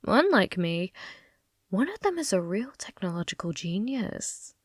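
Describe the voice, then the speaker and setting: A woman's voice: medium pitch, big pitch swings, unhurried, no echo, clean studio sound. A woman playing a possessive young yandere in an ASMR roleplay, teasing and coolly threatening, often dropping into a whisper. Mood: sly, teasing.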